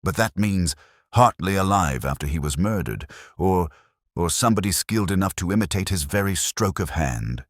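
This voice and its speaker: A man's voice: sits low, expressive, normal speed, dry recording, clean studio sound. A man voicing an earnest, credulous police sergeant in a detective story, plain-spoken and questioning. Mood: puzzled, aghast.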